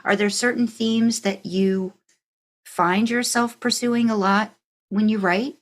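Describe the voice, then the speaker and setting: A woman's voice: medium pitch, steady tone, slow. A woman hosting a casual podcast interview, encouraging and affirming, thinking aloud as she rephrases her questions. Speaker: podcast host interviewing a guest.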